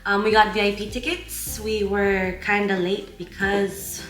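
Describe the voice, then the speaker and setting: A woman's voice: mid-range voice, flat delivery, a little slow, reverberant, faint music behind. A tired woman vlogger talking casually about her outing. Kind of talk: casual vlog chat.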